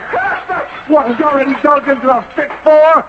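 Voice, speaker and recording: High-pitched, imperious, wrathful pharaoh. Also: male voice, measured pace. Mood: scornful rage. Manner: scornful, declamatory, commanding. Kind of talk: 1970s radio drama acting. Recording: dull, noisy old radio broadcast.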